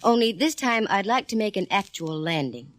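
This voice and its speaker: An American actress playing a sassy, quick-witted female private eye in a 1940s radio detective drama. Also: medium pitch, expressive, close to an everyday voice. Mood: brisk, wry. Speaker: lead actress and narrator. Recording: vintage radio drama recording with music.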